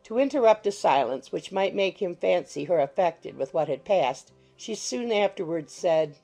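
A woman reads literary narration for an audiobook. Her voice is fairly low and mellow, with a marked creak, and music plays underneath. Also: animated, normal speed. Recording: music behind the voice.

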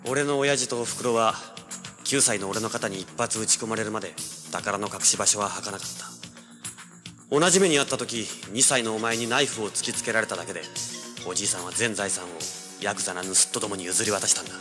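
An actor playing a brash, tough young treasure hunter, rough-spoken and hard-boiled, who also narrates his adventure in an audio drama. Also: lowish voice, fairly quick, over music, lightly acted. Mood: bitter, hard. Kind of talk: 1980s audio drama acting with first-person narration.

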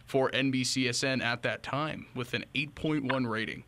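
A man's voice: mid-range voice, steady tone, moderate pace, fairly bright tone. A young man, hosting a sports podcast interview close on a studio microphone. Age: young adult. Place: small indoor radio studio. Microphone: studio microphone on boom arm, close.

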